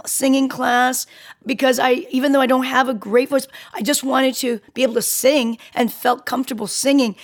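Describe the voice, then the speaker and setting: A middle-aged woman with a raspy voice, hosting a radio interview in a chatty, conversational way. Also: high-pitched. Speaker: radio show host. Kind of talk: casual interview chat.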